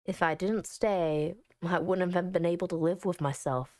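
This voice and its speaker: A woman's voice: fairly low pitch, fairly even intonation, normal speed, dark tone, no echo, clean studio sound, voice pushed down, natural voice. A woman voicing a wistful, devoted, earnest narrator of a visual novel love story. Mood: tender, solemn. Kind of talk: visual novel read aloud.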